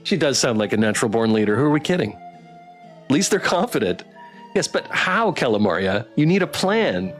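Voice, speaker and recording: A man's voice: mid-range voice, very animated, brisk. A man streaming a game, chatting casually as he reads game text and viewer comments aloud. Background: game music.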